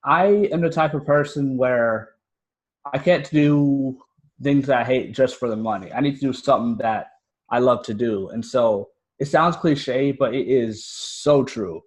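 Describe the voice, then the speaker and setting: A man's voice: mid-range voice, measured pace. American man, earnest and informal. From United States. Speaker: podcast guest, fiction writer and podcaster. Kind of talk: casual interview talk.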